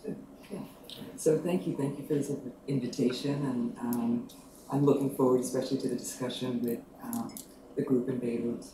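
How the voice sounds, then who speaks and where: A woman with a low voice giving an academic presentation, soft-spoken with many ums as she tries to project. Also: steady tone, normal speed. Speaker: academic panelist. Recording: roomy, distant mic, some noise.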